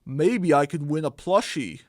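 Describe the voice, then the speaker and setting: A man plays an eager burrito superhero in a comic audio adventure. His voice sits a little high and moves slowly, recorded dry and close. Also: lively intonation, no echo.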